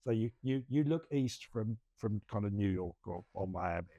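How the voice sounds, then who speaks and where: Middle-aged man, rambling and mellow. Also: on the low side, animated, moderate pace, dry recording, muffled recording. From England. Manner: rambling, many restarts, self-deprecating laughs. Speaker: podcast co-host. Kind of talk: casual chat.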